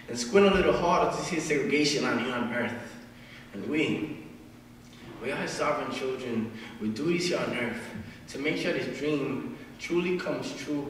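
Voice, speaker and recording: Young guy doing slam poetry, highish voice, big echoey hall, far from the mic. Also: a bit bright. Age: early 20s. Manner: emphatic, rhythmic delivery with dramatic swells. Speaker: student spoken word poet. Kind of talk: spoken word poetry performance at a live reading. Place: event room at a live reading. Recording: very echoey hall, distant mic, faint noise.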